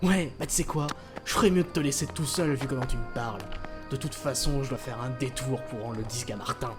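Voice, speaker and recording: A man's voice: medium pitch, brisk, lightly acted. A man playing a surly, foul-mouthed teenage big brother, slangy and dismissive, in an audio drama. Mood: irritated. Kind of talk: French audio drama acting. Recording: music behind the voice.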